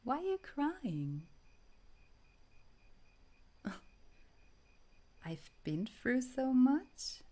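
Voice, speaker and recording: A woman acting a tender, wistful girlfriend in an intimate romantic audio roleplay, speaking softly and breathily, often dropping toward a whisper. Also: high-pitched, big pitch swings, drawn-out words, pauses often, exaggerated voice. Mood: concerned, affectionate. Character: tender, wistful time-traveling girlfriend speaking to her beloved.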